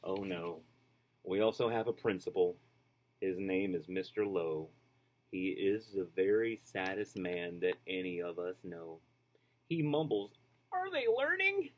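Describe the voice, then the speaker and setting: A man reading a children's storybook aloud, expressive and rhythmic, with casual asides. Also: deep voice, measured pace. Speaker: storybook reader.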